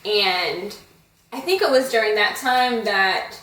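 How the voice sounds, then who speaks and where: A woman's voice: medium pitch, takes it slowly, a bit bright, reverberant, recorded from a distance, rough recording. A young woman vlogger telling a story in a chatty, giggly way. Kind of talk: casual storytelling chat.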